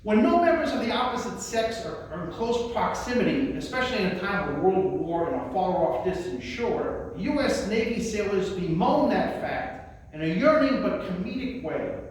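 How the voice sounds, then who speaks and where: A man presenting and reciting verse as a librarian, with theatrical, emphatic and deliberate phrasing. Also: high-pitched, lively intonation. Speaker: librarian presenting a poetry reading. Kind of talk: poetry recitation with spoken introductions. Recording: roomy echo, distant mic, faint noise.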